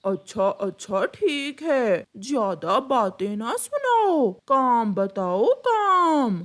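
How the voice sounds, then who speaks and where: A woman's voice: a little high, big pitch swings, very slow, full character voice. A woman voicing a lazy, scheming male crow in a cartoon story, with a grumbling, sly delivery. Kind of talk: Hindi animated moral-story acting.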